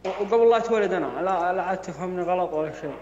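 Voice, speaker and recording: Man faking a Saudi Bedouin accent, bossy teasing Saudi guy, high voice, roomy lo-fi recording. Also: very fast, darkish tone, slight background noise, lightly acted. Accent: put-on Saudi Bedouin Arabic. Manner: teasing, questioning, folksy. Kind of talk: prank voice message.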